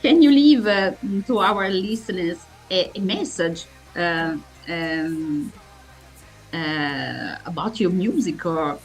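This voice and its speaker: A woman with an Italian accent conducts an interview in a rather low voice. She speaks very slowly and haltingly, searching for each word. Faint music plays behind her. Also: expressive, rough recording. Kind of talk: interview questions.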